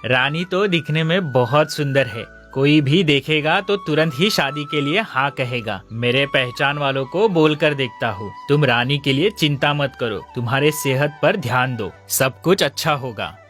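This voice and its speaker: A man's voice: medium pitch, a little slow, few pauses, a bit bright, soft background music, lightly acted. A man voicing a caring, persuasive middle-aged uncle in a cartoon story, speaking earnestly. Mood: friendly. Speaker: voice actor.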